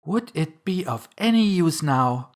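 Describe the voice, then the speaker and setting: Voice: mid-range voice, expressive, a little slow, voice pushed up, close to an everyday voice. A narrator voicing a polite, eager little storybook girl who talks in an earnest, chatty, apologetic way. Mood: polite, curious.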